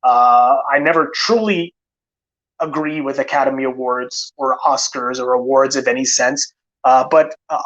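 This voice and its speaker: A man's voice: medium pitch, a bit bright, poor audio. A man hosting a live film channel stream, chatting casually with many fillers and restarts, tired and losing his voice.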